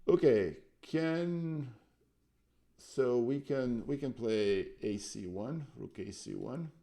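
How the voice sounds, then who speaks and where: Serbian-native man, dark gravelly low voice, hesitant casual stream chat. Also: animated, slow pace. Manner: thinking aloud, hesitant with fillers. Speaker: streamer learning chess. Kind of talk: casual chess analysis on stream.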